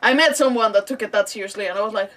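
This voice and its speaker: A woman's voice: mid-range voice, racing, fairly bright tone, far from the mic. A woman live-streaming a game, chatting casually in a rambling way and often interrupting herself. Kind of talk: casual livestream chat and reactions over a game.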